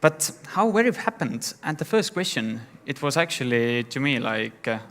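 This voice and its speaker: A young man from Estonia telling his founder story in a plain, slightly halting way on a conference stage. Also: medium pitch, very animated, a bit bright. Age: young adult. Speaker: startup founder giving a talk. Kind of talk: conference talk.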